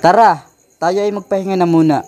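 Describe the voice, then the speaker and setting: A man's voice: high-pitched, steady tone, brisk, darkish tone, no room sound, lightly acted. A young man acting as a polite friend who secretly plots revenge, in an amateur student radio drama. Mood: friendly. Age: young adult.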